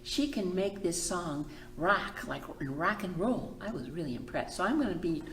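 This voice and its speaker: A woman's voice: on the low side, animated, normal speed, slight background noise. A woman hosting a children's storytime, speaking expressively in simple phrases and drawing words out for young listeners. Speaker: children's librarian and storytime host. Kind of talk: children's storytime reading and teaching. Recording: echoey room.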